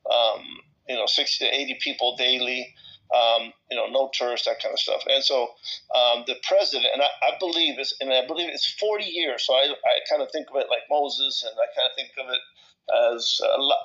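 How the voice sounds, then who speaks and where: A man with a bright, forceful voice at a middling pitch talks casually and without a script. He rambles, repeats words and drops sentences halfway before picking up again. The audio is a little dull and lo-fi. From El Salvador. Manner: rambling, halting, repeats words and breaks off mid-thought. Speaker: discussion participant. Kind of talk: casual, impromptu personal talk in a group discussion.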